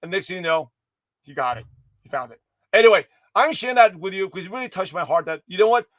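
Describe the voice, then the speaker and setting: A man giving an energetic livestream pep talk to his viewers, his voice hoarse and slightly raspy, rambling with many restarts and self-corrections. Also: high-pitched, quick pace, no echo. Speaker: motivational speaker giving a daily pep talk.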